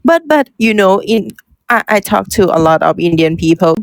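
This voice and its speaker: A woman's voice: medium pitch, expressive, moderate pace, dry recording. A young woman from Thailand speaking English with a Thai accent in casual conversation, hesitant and often restarting as she searches for words. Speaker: guest, content writer.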